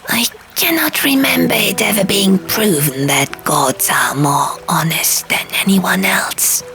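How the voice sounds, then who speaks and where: A woman's voice: medium pitch, measured pace, vocal fry, fairly bright tone, soft background music, pitched above the performer's own voice. A woman voicing a fussy, pompous stone gargoyle in an audiobook, with formal, prim, wordy phrasing. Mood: frank, pensive. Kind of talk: audiobook character voice.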